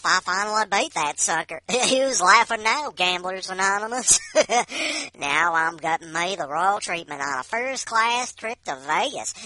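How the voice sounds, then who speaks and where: A woman's voice: medium pitch, pushed and bright, no room sound, poor audio. A woman voicing a gloating, reckless gambling addict boasting through a short story monologue.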